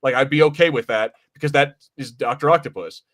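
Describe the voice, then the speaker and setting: A man's voice: mid-range voice, fairly quick. A man co-hosting a podcast, chatting casually about movies and often rephrasing himself mid-sentence. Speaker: podcast co-host. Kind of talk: casual movie review chat.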